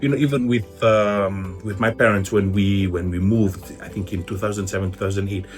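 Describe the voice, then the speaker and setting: A man hosting a casual interview, talking in an animated way with many fillers. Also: fairly low pitch, moderate pace, faint music behind.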